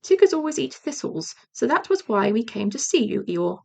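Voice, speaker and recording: A woman's voice: on the high side, very animated, moderate pace, creaky, darkish tone, slightly rough, heavily acted. A woman voicing a friendly, simple-hearted male toy bear in a children's storybook, mild and earnest.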